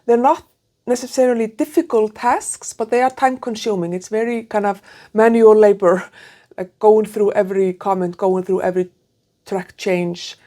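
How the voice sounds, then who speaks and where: A middle-aged woman, an English speaker, chatting casually to camera as a vlogger and thinking aloud in a halting, self-correcting way. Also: medium pitch, mellow. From Iceland. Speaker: PhD student vlogger. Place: small room with curtains, indoors. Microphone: clip-on lapel mic.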